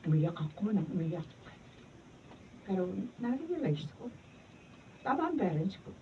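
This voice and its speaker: A woman's voice: medium pitch, very animated, measured pace. A woman with a Filipino accent acting an assertive, motherly mentor in a radio drama, emphatic and admonishing. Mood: reflective, firm. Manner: emphatic, admonishing, mixes English into Tagalog. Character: assertive, motherly older female mentor, successful professional. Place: radio drama recording session. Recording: distant, noisy, dull camera audio.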